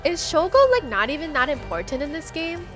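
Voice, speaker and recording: A woman streamer playing a game, reading its text aloud and chatting casually in an excitable, slangy way. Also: sits high, a little fast, faint music behind.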